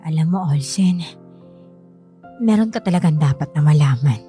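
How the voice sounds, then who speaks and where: A gentle middle-aged mother in a radio drama, mellow and soft-spoken over background music. She pleads sorrowfully with her grown son, hesitant as if confessing. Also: deep voice, lively intonation, normal speed. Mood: guilty.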